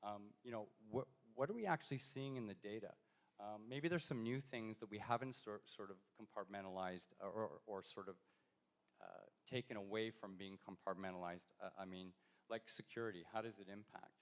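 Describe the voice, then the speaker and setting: A man's voice: lowish voice, a little fast. A man answering questions on a panel at a university event, hesitant, with frequent ums and restarts. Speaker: industry panelist. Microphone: handheld mic, passed around.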